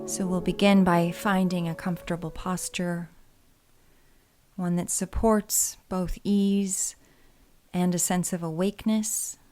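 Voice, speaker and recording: A woman with a slightly low voice guides a body scan meditation. She speaks gently and soothingly, taking her time and leaving space between phrases. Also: flat delivery, soft background music. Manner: soothing, gentle, unhurried, with pauses.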